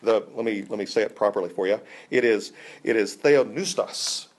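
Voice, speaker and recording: A man's voice: lowish voice, normal speed. An enthusiastic man teaching a Bible class, talking conversationally with frequent restarts and fillers.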